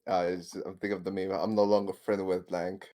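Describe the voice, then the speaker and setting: A man with a deep voice plays a tabletop roleplaying game. He chats informally and describes his character's actions in a halting way. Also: little pitch movement, dark tone. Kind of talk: casual game-table chat.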